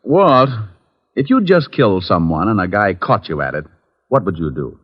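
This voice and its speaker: An actor playing a wisecracking, unflappable private detective with a flippant deadpan wit in a 1950s radio drama. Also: male voice, deep voice, normal speed, breathy edge, heavily acted. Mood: reasoning, persistent. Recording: dull old-time radio recording.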